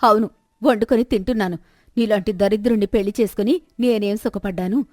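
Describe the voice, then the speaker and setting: A woman storyteller voicing a greedy, scheming village wife with a sharp tongue and a complaining manner. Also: medium pitch, fast talker, dry recording, studio quality, close to an everyday voice. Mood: angry, defiant. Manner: scornful, self-pitying complaining.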